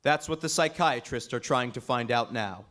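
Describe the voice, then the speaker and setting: A man acting a composed amateur detective in an old-time radio drama, measured and explanatory. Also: medium pitch, fairly bright tone, close to an everyday voice. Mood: assured, serious. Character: composed male amateur detective, assured and reasoning.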